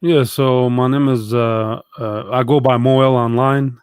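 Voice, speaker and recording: A man's voice: mid-range voice, fairly even intonation, a little slow, a little mellow, smooth, no echo, studio quality. A young adult man from Egypt, a guest telling his story in casual conversation, thoughtful and hesitant with many fillers.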